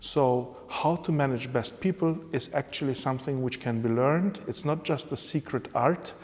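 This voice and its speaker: A man from Hungary, a professor whose first language is Hungarian, answers questions in English. He talks at a mid pitch, steady and explanatory, pausing now and then to find his words. A long reverb trails each phrase. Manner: measured, explanatory, with pauses and fillers. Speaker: interviewed professor. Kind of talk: spontaneous interview answers in English. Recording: reverberant room.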